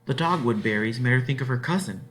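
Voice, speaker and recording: A man narrating a children's book aloud in an expressive storytelling style, with dramatic phrasing and drawn-out words. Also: mid-range voice.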